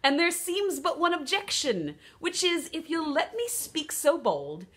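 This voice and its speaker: A woman voicing a cautious, fussy kangaroo in a children's poem, with a put-on Australian accent and deliberate delivery. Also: high-pitched, very animated, bright, forceful tone, voice pushed up, exaggerated voice. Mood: doubtful, fussy.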